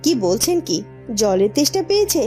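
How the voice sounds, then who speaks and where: A woman's voice: high-pitched, sing-song, a little fast, warm tone, soft background music. A woman voicing a curious, helpful young village woman in cartoon dialogue, sounding concerned. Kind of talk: cartoon dubbing, fairy-tale dialogue.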